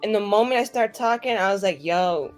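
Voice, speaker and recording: A woman tabletop player chatting casually off-game, animated and crude, telling stories in quick run-ons over a remote call mic. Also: medium pitch, soft background music.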